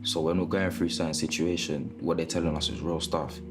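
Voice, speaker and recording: A man's voice: a bass voice, steady tone, fairly quick, music in the background. A young man, a boxer, talking in a casual, slangy way.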